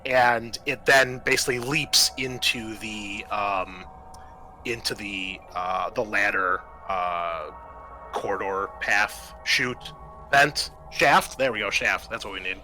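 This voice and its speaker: A man's voice: lowish voice, takes it slowly, sharp tone, poor audio. A man narrating a tabletop roleplaying game as game master, improvising descriptions and explaining the rules, with music behind him.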